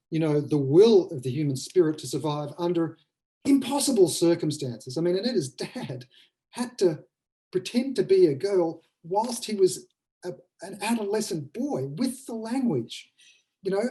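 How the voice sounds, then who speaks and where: A man with a soft-edged, mellow voice speaks as a writer on a webinar. He is reflective and conversational. He often halts and repeats a phrase while he works out what he means. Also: high-pitched, animated. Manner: thoughtful, halting, repeats phrases as they form. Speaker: playwright adapting a novel for the stage.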